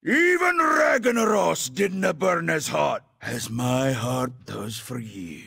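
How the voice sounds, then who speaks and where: A man voicing a gruff, fiery dwarf for a video game, with a raspy voice, a Scottish accent and a hearty, blustering delivery. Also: a little high, animated, slow pace, pushed and bright, exaggerated voice. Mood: flirtatious. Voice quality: gravelly, raspy. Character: gruff, fiery, boisterous male Dark Iron dwarf. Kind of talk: video game character voice lines.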